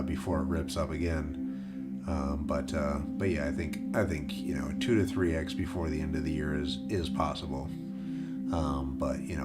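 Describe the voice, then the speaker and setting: A man hosting a casual crypto livestream, rambling with lots of fillers and restarts while he loses his voice. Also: very deep voice. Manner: reads viewer questions aloud. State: losing his voice.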